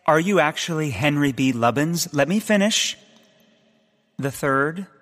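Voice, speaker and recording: Flippant, lively captive in reverb. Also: male voice, on the high side, a little slow. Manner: wisecracking, blithe. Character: flippant, chatty male captive adventurer. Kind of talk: audio comedy drama acting.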